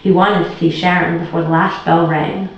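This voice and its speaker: A woman's voice: lowish voice, flat delivery, normal speed. A woman tutor reading a children's storybook aloud as narrator. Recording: very echoey room, distant muffled mic.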